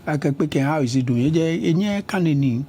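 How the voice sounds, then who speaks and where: A man's voice: on the high side, moderate pace, a little hiss or hum. A middle-aged Ghanaian man with a Ghanaian accent, giving an interview and switching between English and Ga. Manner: switches between English and Ga, lists places at an even pace. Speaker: interview guest, politician. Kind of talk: conversational interview.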